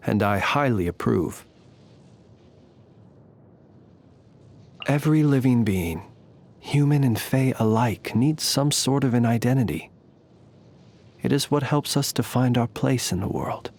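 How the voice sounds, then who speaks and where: A man voicing a calm, wry, ancient fae lantern keeper for a video game, speaking in formal, measured, theatrical phrases. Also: mid-range voice, close to an everyday voice. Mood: dignified. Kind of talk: scripted video game character voice lines.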